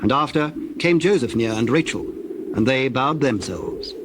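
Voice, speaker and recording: A man narrating scripture in a measured, formal, solemn scripted style. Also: medium pitch, lively intonation, background noise.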